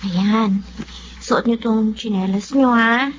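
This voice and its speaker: A woman with a Filipino accent playing a devoted young daughter in a Tagalog radio drama, gentle and earnest. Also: fairly high pitch, slow, a little hiss or hum. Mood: gentle, coaxing. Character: devoted, tender young daughter caring for sick father. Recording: muffled radio drama audio, faint music.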